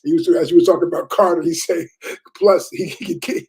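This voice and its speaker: A man's voice: fairly high pitch, steady tone, a little fast, vocal fry, background noise. A man in his thirties in casual chat about parenting, laid-back and repetitive, with many fillers.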